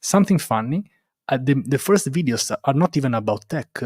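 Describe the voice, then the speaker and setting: A man from Italy with a strong Roman Italian accent, a non-native English speaker, talking casually as an interview guest in a halting, self-deprecating way and repeating himself. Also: medium pitch, animated, darkish tone, breathy edge, no echo, clean studio sound. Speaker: interview guest, developer advocate and content creator.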